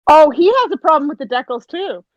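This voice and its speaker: Chatty Canadian woman, lively up-and-down delivery, giggles a lot, dry muffled call audio. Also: high voice, fairly quick, no room sound. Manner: giggly, chatty, laughs often between remarks. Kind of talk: casual group chat over a voice call. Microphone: home voice-call mic.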